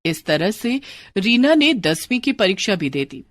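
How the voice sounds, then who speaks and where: A woman narrating scripted moral stories in an expressive storytelling style. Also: mid-range voice, unhurried, background noise.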